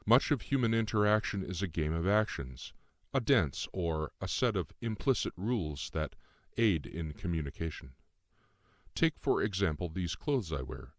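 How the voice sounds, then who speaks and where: A man voicing a calm, cryptic shape-shifting spirit guide in an audiobook, speaking in a measured, formal way. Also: sits low, no echo, studio quality. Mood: composed, explaining. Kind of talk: audiobook character dialogue.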